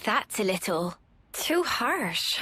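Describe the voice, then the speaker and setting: A woman's voice: medium pitch, big pitch swings, slow pace, bright, forceful tone, breathy edge, heavily acted. An actress playing a frank, sensitive young female soldier in a video game. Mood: disapproving. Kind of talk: video game cutscene acting. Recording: game music behind.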